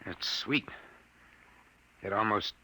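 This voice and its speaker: Nervous private eye, sharp-toned. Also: male voice, lowish voice, breathy edge, heavily acted. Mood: polite, uneasy. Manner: hesitant, halting, agitated. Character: nervous, drugged private detective, desperate and pleading. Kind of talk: 1950s radio drama acting. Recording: faintly noisy 1950s radio recording.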